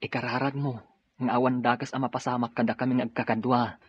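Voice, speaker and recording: A man's voice: medium pitch, flat delivery, fast talker, husky, no echo, dull audio. A man with an Ilocano Filipino accent acting a dutiful, serious policeman in an audio drama. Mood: serious, urgent.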